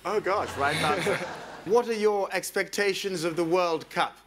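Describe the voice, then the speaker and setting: Male quizmaster, a bit high-pitched, playful, doubles as show narrator. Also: normal speed, fairly bright tone, slight background noise. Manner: playful, teasing banter. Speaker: quiz show host. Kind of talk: scripted narration and quizmaster questions.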